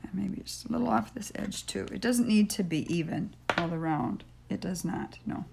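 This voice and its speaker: An older woman with a raspy voice hosting a casual polymer clay tutorial from her home craft room, chatting and thinking aloud with frequent ums as she works, recorded on a fixed camera mic. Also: medium pitch, moderate pace, background noise. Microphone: stationary camera mic.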